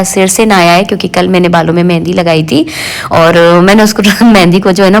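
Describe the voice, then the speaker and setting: A middle-aged woman with a hoarse, gravelly voice sits a touch low in pitch. She talks in a homely, chatty way, as if to friends, while she cooks in her home kitchen. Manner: homely, chatty, fond of the filler "jo hai na". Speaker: home vlogger. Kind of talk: casual chatty vlog narration.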